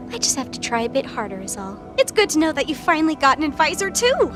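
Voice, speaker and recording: A woman's voice: high-pitched, sing-song, a little fast. A woman voicing a large, eager, polite and gushing goddess sidekick in an audio drama. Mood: earnest, eager. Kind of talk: audio drama character acting.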